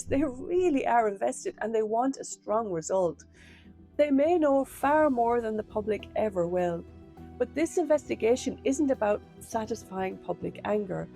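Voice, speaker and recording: A hoarse middle-aged woman with a mellow, warm voice breaks down a crime case for her viewers. She speaks in a measured, earnest way, as a former detective speaking straight to the camera. Faint music plays softly underneath. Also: on the high side. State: hoarse from a cold. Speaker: former detective, true crime channel host.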